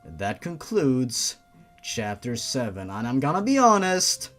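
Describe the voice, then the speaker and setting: Narrator for amateur story reading: animated, theatrical, a touch irreverent, medium pitch, music underneath. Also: slow. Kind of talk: dramatic fan fiction reading with mocking asides.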